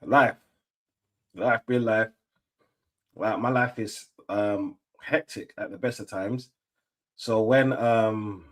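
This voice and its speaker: A middle-aged man talks in a fairly low voice. His chat is loose and slangy, full of fillers and restarts, as he hosts a relaxed football watch-along stream. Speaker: stream host.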